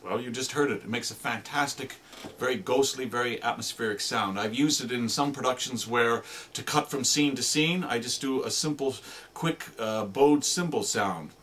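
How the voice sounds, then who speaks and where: A man's voice: mid-range voice, moderate pace, a bit bright. Man demonstrating sound effects, noisy background. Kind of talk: informal spoken demonstration.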